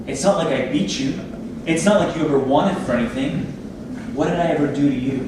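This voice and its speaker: A man's voice: a little high, fairly quick, lightly acted. A man playing a needy, overbearing surgeon boss in a staged play reading, pushy and wheedling. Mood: irritated, bossy. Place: theatre space, recorded from audience distance. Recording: echoey room, far mic, noisy.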